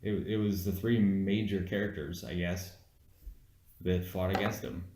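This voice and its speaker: A man's voice: sits low, little pitch movement, measured pace, mellow. A man who has had some beers, casually co-hosting a film review with slangy, sweary talk. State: has been drinking beer. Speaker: podcast co-host reviewing a film. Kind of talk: casual chat. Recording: echoey, roomy sound.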